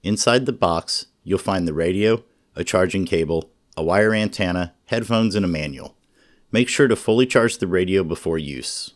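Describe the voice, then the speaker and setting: A man narrating a scripted product review in a measured, informative way. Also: low-pitched. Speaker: radio reviewer.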